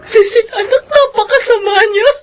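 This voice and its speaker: A woman's voice: extremely high pitch, normal speed. An actress playing a middle-aged grieving mother in a Filipino radio melodrama, pleading and crying through her words. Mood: anguished, demanding. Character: grieving mother demanding justice for her murdered daughter.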